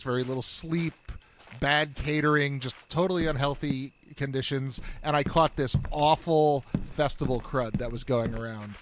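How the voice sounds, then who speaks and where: A man's voice: fairly high pitch, fairly bright tone, a little hiss or hum. A man who voiced a game character, chatting candidly and with some swearing about how he made the voice. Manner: candid and profane, laughs easily. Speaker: voice actor and game team member. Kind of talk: casual behind-the-scenes chat. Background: music.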